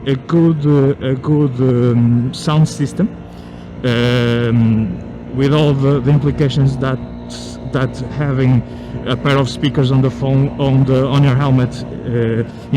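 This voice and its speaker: A man with a Portuguese accent gives a casual spoken review, rambling and searching for words, as a motorcycle vlogger would. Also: medium pitch. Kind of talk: casual spoken product review while riding. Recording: loud engine and road noise.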